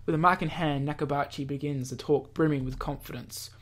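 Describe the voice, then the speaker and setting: A man's voice: on the high side, fairly even intonation, a little fast. Man reading a visual novel aloud. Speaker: gaming YouTuber. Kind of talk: visual novel read-aloud with casual commentary. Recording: game music behind. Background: visual novel game music.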